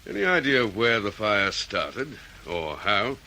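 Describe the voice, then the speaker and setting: Low-voiced, animated police detective. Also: male voice, slow, heavily acted. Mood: musing, probing. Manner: musing, laconic, probing. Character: laconic, inquisitive male senior police detective. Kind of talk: 1940s radio drama acting. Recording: vintage radio recording, faint noise.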